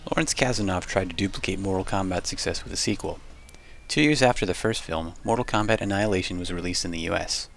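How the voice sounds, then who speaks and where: A man's voice: low-pitched, fairly bright tone, slight background noise. A man reading scripted documentary narration over background music. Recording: music under the voice.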